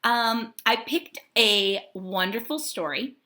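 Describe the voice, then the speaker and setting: A woman teacher giving a read-aloud lesson to young children, speaking in a deliberate, instructive way. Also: a little high, sharp tone. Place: home kitchen. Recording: echoey domestic room.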